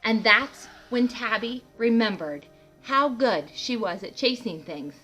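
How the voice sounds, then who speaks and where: A woman reads a children's picture book aloud at an unhurried pace. Her voice is bright and clear in intent, with animated delivery and chatty asides to young listeners. Music plays behind her. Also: fairly high pitch, low-quality recording. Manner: animated, asks listeners questions. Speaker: read-aloud host for children.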